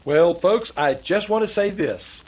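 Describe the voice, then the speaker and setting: A man's voice: high-pitched, expressive, measured pace, muffled recording. Noisy recording of folksy man playing sound-effects man. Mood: chatty, joking. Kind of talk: 1930s radio drama acting.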